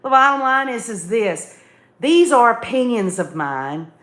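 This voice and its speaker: A woman with a slightly high voice hosts an opinionated livestream. She talks straight to her listeners in an emphatic, folksy way, often repeating a phrase to drive it home. The room around her voice is clearly echoey. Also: animated, a little slow, a bit bright. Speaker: livestream host commentator. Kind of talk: opinionated live commentary, reading viewer comments.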